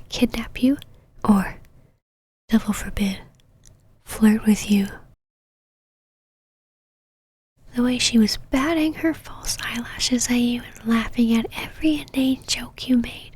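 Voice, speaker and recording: A woman acting as an imperious vampire knight, a lady who whispers in a breathy, fairly high voice. She is possessive and controlling, teasing her listener with condescending pet names and commands in an intimate ASMR roleplay. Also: a little mellow, no room sound. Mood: jealous, commanding.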